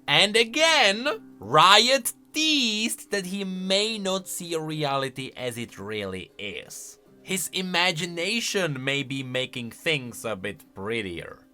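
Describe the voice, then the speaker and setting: Guy doing casual game lore commentary, really lively pitch, bright forceful voice, faint music behind. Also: slow. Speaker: host of a game lore channel.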